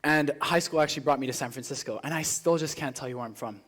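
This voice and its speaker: Teenage male student speaker, medium pitch, earnest and rehearsed public-speaking delivery with deliberate phrasing. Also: a bit bright. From Spain, born in South Africa. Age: teenager, high school student. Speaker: student speech contestant. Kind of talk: rehearsed motivational speech to a live audience.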